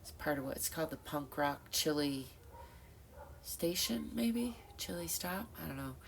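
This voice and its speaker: Low-voiced, slow, stuffed-up woman vlogger. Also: expressive, vocal fry, slight background noise. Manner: rambling, thoughtful, many fillers and self-corrections. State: stuffed-up nose. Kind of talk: reflective casual monologue.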